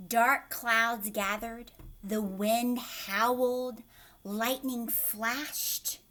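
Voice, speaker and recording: A woman's voice: on the high side, fairly even intonation, very slow, a bit bright. A woman teacher reading a picture book aloud to young children, expressively, with questions and asides to her listeners.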